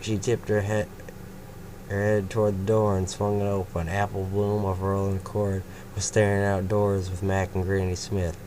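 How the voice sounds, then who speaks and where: Male story reader, casual, low-pitched, clear background hiss. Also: monotone, normal speed. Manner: casual, breaks off to laugh and comment. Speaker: fanfiction reader. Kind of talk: first-person story reading.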